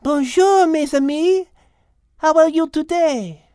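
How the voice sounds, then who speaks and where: A man voicing a thoughtful, courteous little female cat in a storybook, with a French accent and gently precise speech. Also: extremely high pitch, takes it slowly, dry recording, clean studio sound, voice pushed up. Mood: friendly.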